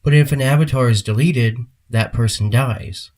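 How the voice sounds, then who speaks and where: A man's voice: medium pitch, normal speed, darkish tone, close to an everyday voice. A man playing a stern, commanding boss in an audio drama. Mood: insistent. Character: stern male boss ordering a scientist around.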